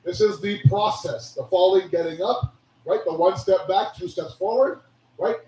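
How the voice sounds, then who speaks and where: A hoarse man giving a sermon, preaching with animated rhetorical questions. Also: high-pitched, normal speed. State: hoarse, losing his voice. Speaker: religious speaker. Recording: echoey hall from a distance.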